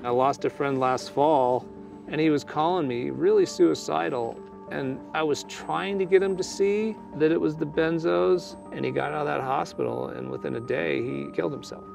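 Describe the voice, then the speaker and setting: Gravelly-voiced guy in his 40s talking blunt, music playing under him. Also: fairly high pitch, moderate pace. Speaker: climber in withdrawal. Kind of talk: documentary interview.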